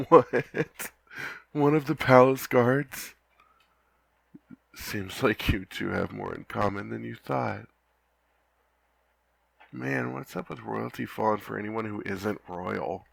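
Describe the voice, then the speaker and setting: A young man playing a lovesick, flustered knight in an ASMR roleplay, talking softly and haltingly with frequent stammers. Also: lowish voice, vocal fry, breathy edge, full character voice. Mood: tender, teasing. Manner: halting, stammering, soft-spoken.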